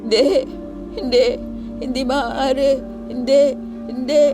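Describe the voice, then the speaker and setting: A terrified passenger played by a storyteller in an audio horror tale. The voice jumps high and loud, pleading in panic and repeating denials over clear background music. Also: flat delivery, slow pace. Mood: panicked denial. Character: Lenny, terrified overtime worker trapped in a car.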